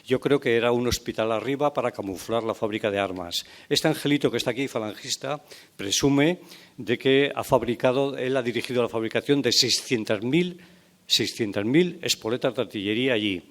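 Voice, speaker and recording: A man's voice: medium pitch, fairly quick, fairly bright tone. A man giving a historical talk at a panel, listing facts with wry asides. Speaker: conference speaker.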